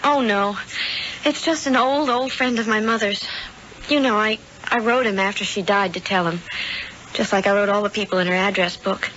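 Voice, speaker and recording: An actress playing an innocent, eager young houseguest, polite and earnest, in 1970s radio drama style. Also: female voice, medium pitch, steady tone, moderate pace, a bit bright, natural voice. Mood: apologetic. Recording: muffled, noisy vintage radio broadcast.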